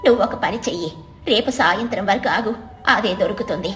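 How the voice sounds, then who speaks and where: A woman's voice: mid-range voice, brisk, a bit bright, soft background music, close to an everyday voice. A woman voicing a wise old woman who scolds and advises in a story. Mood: scolding, advising.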